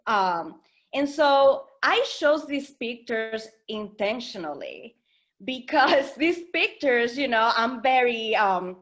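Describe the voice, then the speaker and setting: A woman from the Dominican Republic speaks at a slow, unhurried pace, in a fairly high voice. She pauses often and searches for her words as she tells her own story. She is recorded over a video call. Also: animated, a bit bright. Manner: hesitant, reflective, many fillers. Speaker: guest speaker, founder. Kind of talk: personal testimony at a webinar.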